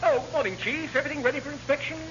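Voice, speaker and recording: A dry, clipped British first officer in vintage radio comedy. The voice sits high and moves animatedly through sarcastic remarks and brisk orders. The recording is muffled, with heavy noise. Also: male voice. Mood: brisk, businesslike. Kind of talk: classic radio sitcom acting.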